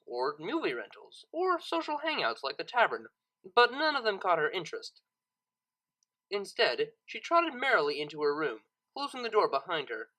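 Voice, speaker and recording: A narrator reading a scripted story audiobook in an expressive, dramatic storytelling manner. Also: mid-range voice, poor audio.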